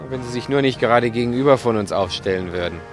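An actor playing a troubled, hesitant snack bar owner who doubts himself, in a German radio drama. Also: male voice, mid-range voice, fast, over music, lightly acted. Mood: grumbling.

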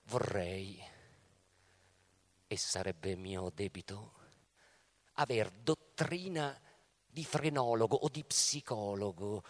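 A man giving a theatrical literary recitation for radio theatre, declaiming with dramatic emphasis and pauses. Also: on the high side, a bit bright.

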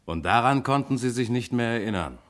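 An actor playing a composed police inspector in a German radio crime drama, questioning suspects with pointed insistence. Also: male voice, medium pitch, animated, brisk, fairly bright tone, lightly acted. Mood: probing, insistent.

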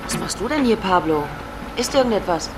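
Distant miking, clear street noise, actress as brisk female site boss, exasperated, blunt, sarcastic, radio drama. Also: medium pitch, lively intonation, close to an everyday voice. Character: brisk, exasperated woman in charge of building sites. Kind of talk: naturalistic German radio drama dialogue. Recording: noisy outdoor street, distant from mic.

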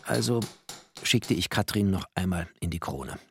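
An actor playing a wry, bookish narrator, a poor postwar settler, in literary first-person narration. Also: male voice, low-pitched, expressive, moderate pace, a little hiss or hum. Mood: wry, matter-of-fact. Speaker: narrator of a literary radio play.

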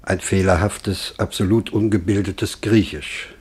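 An actor playing a pompous, pedantic male museum scholar with a husky voice in a German radio play. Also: deep voice, steady tone, measured pace, darkish tone. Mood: disdainful. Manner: pompous, hesitant, exacting.

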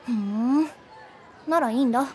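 A woman's voice: a little high, a little slow, darkish tone, a faint crowd, close to an everyday voice. An actress playing a defensive, curt teenage schoolgirl in a drama CD. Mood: prickly.

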